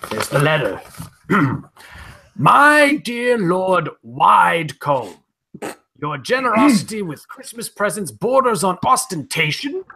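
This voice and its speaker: A man playing a flustered, dim-witted lord in comic old-time radio style, raspy, fussy and bumbling. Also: sits high, very animated, slow, sharp tone, heavily acted. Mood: anxious, fussy. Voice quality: raspy, creaky, strained. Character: flustered, dim-witted, posh English lord, Holmes's client.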